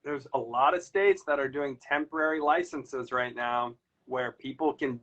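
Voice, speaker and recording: A man's voice: mid-range voice, normal speed, very noisy. A man hosting a casual chat, talking loosely and rambling with lots of fillers. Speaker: podcast host. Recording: slightly dull lo-fi audio.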